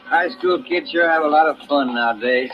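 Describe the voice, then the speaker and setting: A man's voice: a little high, normal speed, music in the background, natural voice. A man playing a folksy, polite American police detective sidekick in a 1950s radio drama, with a conversational manner. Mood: wistful, chatty. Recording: muffled vintage radio recording, faint noise.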